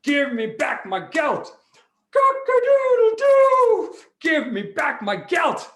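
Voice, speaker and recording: A brash magical rooster from a folk tale, crowing high and loud in long sing-song calls. The voice swoops widely and rings in a roomy space. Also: huge pitch swings, slow, heavily acted. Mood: loud, demanding.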